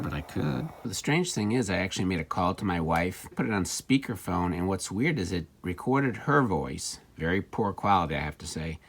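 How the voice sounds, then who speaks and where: A man's voice: low voice, creaky, breathy edge. A man talking casually through a hands-on gadget demonstration, musing and thinking aloud. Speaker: vlogger demonstrating and repairing vintage electronics.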